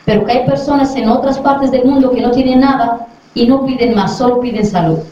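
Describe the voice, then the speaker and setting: A woman's voice: on the high side, fairly quick, mellow, a little hiss or hum. A middle-aged woman from Western Sahara, a dentist, talking to a class of children and asking them questions as she goes. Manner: friendly, asks the children questions to check they follow. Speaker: dentist visiting a school class. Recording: very echoey, far from the mic, dull lo-fi sound.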